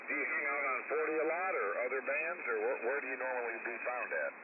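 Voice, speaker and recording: A man with a medium-pitched voice makes casual conversation as a ham radio operator. His transmission is squeezed into a thin shortwave band and swamped by loud hiss and static. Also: fast talker, distant mic. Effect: shortwave single-sideband radio transmission. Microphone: radio transceiver microphone, received over the air. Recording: narrow shortwave radio signal buried in static.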